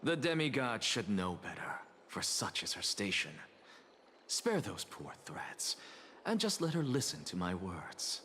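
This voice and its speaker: A man's voice: lowish voice, big pitch swings, measured pace, sharp tone, a little hiss or hum, exaggerated voice. A man playing an arrogant, philosophical scholar envoy in a video game, speaking formally and theatrically with haughty eloquence. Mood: haughty defiance. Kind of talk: dramatic video game voice acting.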